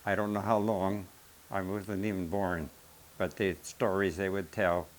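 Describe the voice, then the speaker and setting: A man's voice: low-pitched, little pitch movement, unhurried, a little hiss or hum. Folksy older man telling family stories. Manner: plain-spoken, folksy, rambling with self-corrections. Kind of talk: casual family storytelling.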